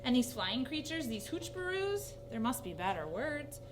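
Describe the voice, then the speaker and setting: A woman voicing a sweet, bright young boy in a children's story, gentle and cheerful. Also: high-pitched, moderate pace, music in the background. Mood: curious, cheerful. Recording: noisy recording with steady hum.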